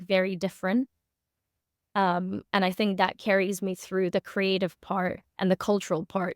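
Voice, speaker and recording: A woman's voice: mid-range voice, clean tone, dry recording. Young woman explaining things candidly, dry close sound. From born in Moscow, grew up in Singapore. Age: 20s. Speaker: SEO consultant and interview guest. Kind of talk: conversational expert interview.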